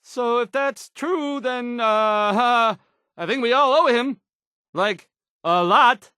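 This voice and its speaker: A man's voice: very high voice, slow pace, pushed and bright, clear, resonant tone, no echo, clean studio sound, heavily acted. An actor playing an awkward young male radio newscaster in a video game, nervous and hesitant, stammering and trailing off. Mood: awkward, flustered. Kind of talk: in-game radio news acting.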